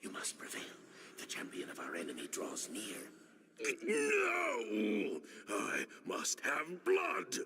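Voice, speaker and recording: A wrathful undead god from a dark fantasy game, guttural and crackling with gravel. He speaks very slowly and grandly, lurching between whispers and shrieks. His words ring through a long cavernous echo. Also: high voice, huge pitch swings, exaggerated voice. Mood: cold, possessed. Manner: theatrical, grandiose, erratic. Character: deranged monstrous undead vampire god, possessed, hungry and wrathful. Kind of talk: dramatic video game acting.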